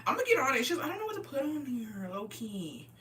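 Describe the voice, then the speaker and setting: A woman's voice: high voice, very animated, fast, pushed and bright. A woman in her twenties hosting a casual livestream, tipsy, chatting with viewers in slangy talk with swearing and Spanish words mixed in. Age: 20s. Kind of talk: casual livestream chat, reading and answering comments. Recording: voice distant from the microphone.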